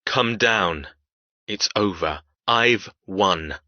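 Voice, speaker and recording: A man performs a cold, cruel captor in a dramatic audiobook. His voice is tense and forceful, clipped and sneering, with a controlled threat in it. The sound is very dry and slightly dull. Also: fairly low pitch, drawn-out words, no room sound, full character voice. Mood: taunting. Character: cold, menacing male captor, cruel and taunting.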